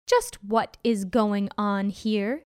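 A woman voicing a firm, no-nonsense schoolteacher in a storybook tale, questioning in a measured way. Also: high voice, lively intonation, dry recording, clean studio sound. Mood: stern.

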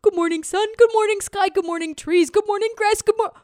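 A woman voicing a talkative, excitable young male turtle who rushes his words together. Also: extremely high pitch, no room sound, clean studio sound, pitched above the performer's own voice. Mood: cheerful.

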